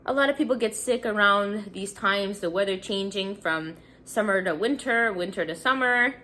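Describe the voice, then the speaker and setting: A woman's voice: medium pitch, moderate pace, sharp tone, a little hiss or hum. Chatty, congested young woman. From Montreal, Canada. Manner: chatty, rambling, frequent "like" fillers. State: congested, sniffling. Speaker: beauty vlogger. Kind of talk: casual get-ready-with-me chat.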